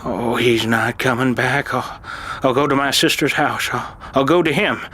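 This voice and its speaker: A man playing an irascible, desperate old uncle in an audio drama, urgent and halting as he insists on his truth. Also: medium pitch, fairly even intonation, bright, forceful tone, slight background noise, close to an everyday voice. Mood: anxious regret. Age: older. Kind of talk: dramatized audio fiction acting.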